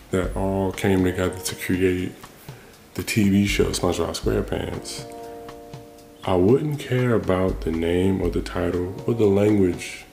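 Deep slow gravelly man musing aloud. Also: darkish tone, roomy sound. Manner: casual, thinking aloud with frequent restarts. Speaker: podcast host. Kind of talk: reflective solo monologue.

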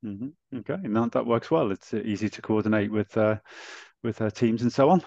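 Man hosting a podcast, gravelly voice, dull dry lo-fi recording, stammers. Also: on the low side, brisk, a little mellow, no echo. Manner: stammering, friendly questions. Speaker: podcast host. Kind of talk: casual interview.

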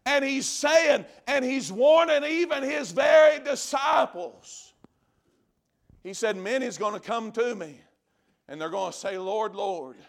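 High, gravelly preacher shouting. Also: male voice, lively intonation. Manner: shouting, drawn-out emphatic preaching with repeated phrases. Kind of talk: fiery revival sermon.

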